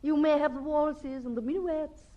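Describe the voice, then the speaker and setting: A woman's voice: high-pitched, fairly even intonation, normal speed, close to an everyday voice. A woman playing a playful film actress in 1950s radio comedy style. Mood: wry. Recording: dull, faintly hissy vintage radio recording.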